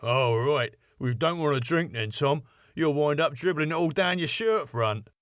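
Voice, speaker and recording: A man's voice: a little high, expressive, normal speed, a bit bright, no room sound, clean studio sound, pitched above the performer's own voice. Man doing a matey, matter-of-fact doorman. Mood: matey, businesslike. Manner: matey, colloquial. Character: George, friendly, matter-of-fact male doorman.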